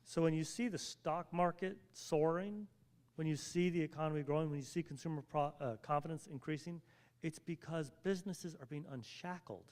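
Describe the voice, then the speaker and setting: A man's voice: fairly high pitch, moderate pace, darkish tone. A man moderating a political panel on a stage, folksy and joking, explaining with homey analogies.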